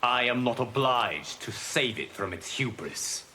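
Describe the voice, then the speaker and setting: A man voicing a calm, solemn god in a video game, formal, measured and grave, speaking as a wise keeper of time. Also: mid-range voice, slight background noise. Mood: stern, measured. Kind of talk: video game fight-intro dialogue.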